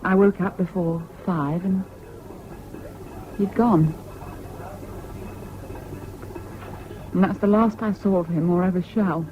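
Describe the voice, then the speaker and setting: A woman's voice: fairly low pitch, fairly even intonation, a little slow, soft background music, lightly acted. Old dull radio play tape, actress doing a bitter british woman, cutting and sardonic, fiercely independent. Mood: weary, wry. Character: bitter, scornful, world-weary wartime woman, fiercely independent. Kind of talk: 1970s British radio drama acting.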